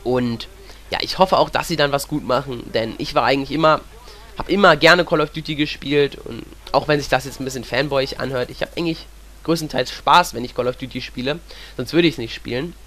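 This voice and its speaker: A man's voice: mid-range voice, fairly quick, a bit bright. A young man with a cold, talking casually and rambling as he comments on gameplay. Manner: chatty, rambling, fills with "ja". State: has a cold. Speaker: gaming commentator.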